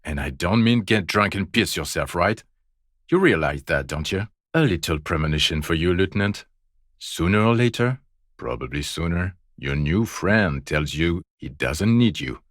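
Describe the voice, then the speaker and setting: Jaded male detective, deep voice, dry close recording, deadpan sarcasm, foul-mouthed, sneering, game dialogue. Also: moderate pace, dry recording. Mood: scornful. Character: bitter, sarcastic, fed-up male police detective, world-weary. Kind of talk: video game voice acting.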